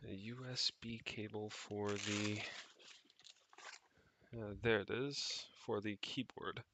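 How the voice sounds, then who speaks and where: A man's voice: fairly low pitch, fairly even intonation, slow pace, pushed and bright, slight background noise. A man chatting off the cuff on a livestream, rambling and thinking aloud with casual swearing as he tinkers. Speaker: streamer, tech hobbyist. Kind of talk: casual unscripted livestream chat while tinkering. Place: indoors, rented home.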